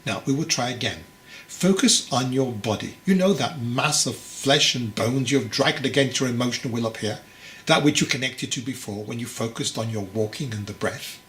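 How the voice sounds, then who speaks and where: A man voicing a stern, patient old monk who teaches in a deliberate, commanding, admonishing way. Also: mid-range voice, fairly bright tone, close to an everyday voice. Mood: stern, impatient. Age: older. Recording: roomy echo, clear background noise.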